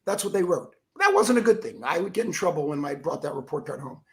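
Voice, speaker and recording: A man with a froggy, rough voice telling stories as an energetic interview guest, acting out the quotes. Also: a little high, fast talker. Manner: energetic storyteller who acts out quotes. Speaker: guest, keynote speaker and author. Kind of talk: animated interview talk.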